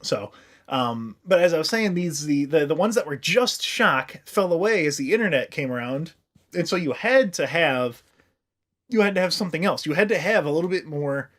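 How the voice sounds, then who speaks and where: A man's voice: fairly high pitch, expressive, normal speed, a bit bright. An American man from the Midwest co-hosting a podcast in casual comic chat, rambling through stories with crude, loose wording. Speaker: podcast co-host.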